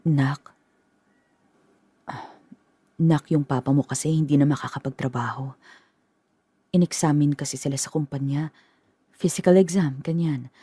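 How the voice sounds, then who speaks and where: A woman's voice: sits low, steady tone, mellow, slightly rough, no room sound. An actress playing a worried, hesitant middle-aged mother explaining family troubles to her son. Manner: hesitant, halting. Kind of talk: radio drama acting.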